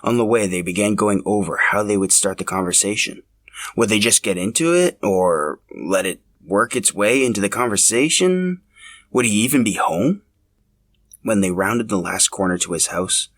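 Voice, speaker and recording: A man with a low voice narrates a piece of fiction. He reads from a script in the role of a podcast host telling a story. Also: fairly bright tone.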